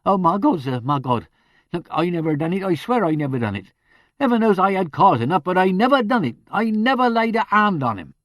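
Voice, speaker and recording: Guy doing a scared young village cop, high and pleading. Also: very animated, a little fast, a bit bright, no room sound.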